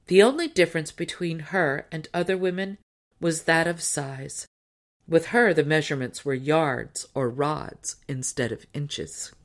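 Low-pitched woman narrating a storybook. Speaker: audiobook narrator. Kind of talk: scripted storybook narration of a tall tale.

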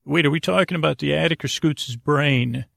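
A middle-aged man hosting a podcast as a rambling bedtime monologue, halting and drifting into digressions as he interrupts himself. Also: on the high side, gravelly, a bit bright, no echo, clean studio sound. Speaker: podcast host.

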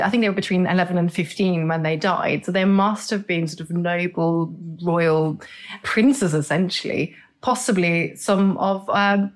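Middle-aged woman from Norway, expert guest, eager, explanatory interview delivery, mid-range voice. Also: medium pitch. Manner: enthusiastic, explanatory, repeats words. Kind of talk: conversational interview.

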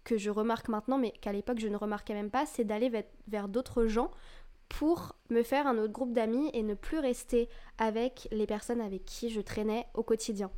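Young woman host sharing personal stories, casual and candid, slightly high, soft warm tone. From France. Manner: candid, confessional, casual with fillers. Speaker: podcast host. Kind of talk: personal storytelling monologue.

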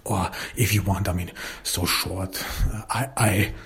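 A German-native man talks through his podcast in a very low, husky voice that barely moves in pitch. He stops and restarts often, filling gaps with hesitant sounds as he thinks aloud. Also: steady tone, fairly bright tone. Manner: halting, many fillers and restarts. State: recovering voice after illness. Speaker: podcast host and author. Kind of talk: casual solo podcast talk.

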